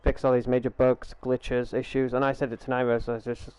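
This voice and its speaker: A man's voice: medium pitch, level tone, brisk, a little mellow, smooth, no echo, muffled recording. A young man casually chatting with his live-stream audience while he plays, earnest and rambling, often repeating himself over a gaming headset at home. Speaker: game streamer.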